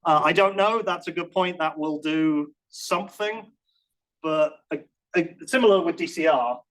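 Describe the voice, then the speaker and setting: A man's voice: a little high, moderate pace, low-quality recording. A man answering questions after a conference talk in a lecture room, speaking casually with frequent restarts and self-deprecating asides. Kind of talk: technical Q&A, casual and hedging.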